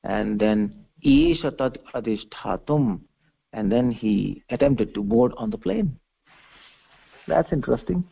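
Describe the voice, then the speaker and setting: Male preacher, mid-pitched and creaky, expounding scripture with emphatic repetition and halting phrasing; muffled conference-line sound. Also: lively intonation. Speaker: preacher giving a scripture lecture. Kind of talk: religious discourse, explaining a verse. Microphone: conference call. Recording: dull conference-call audio.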